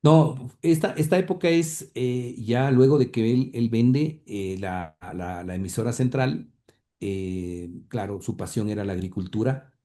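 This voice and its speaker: A man's voice: mid-range voice, unhurried, dark tone. A man telling family history in an interview, hesitating often with fillers and repeated words. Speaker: interview guest, grandson of the radio pioneer. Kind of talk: conversational storytelling interview.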